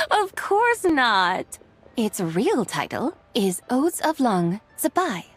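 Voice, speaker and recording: A woman voicing a dignified, ageless immortal maiden in a video game, speaking formally in a stately, measured, poetic way. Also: on the high side, big pitch swings, husky, heavily acted. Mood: amused, coy.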